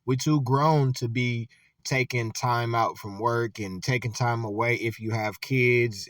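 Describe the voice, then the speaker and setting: A man's voice: medium pitch, fairly bright tone, no echo. Casual man, dry sound from a distance. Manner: loose, conversational, lots of filler "like". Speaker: commentator. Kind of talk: casual reaction commentary.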